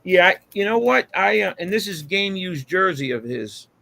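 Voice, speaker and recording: A man's voice: high-pitched, very animated, moderate pace, gravelly, sharp tone. An American man in casual chat on a live hangout, with a slangy, profane way of talking.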